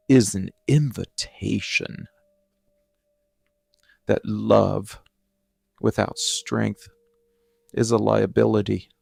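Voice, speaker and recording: A man playing a brooding, hardened and defiant villain in a dark fantasy ASMR monologue, speaking with deliberate, dramatic pauses and measured intensity. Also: on the low side, creaky, dry recording.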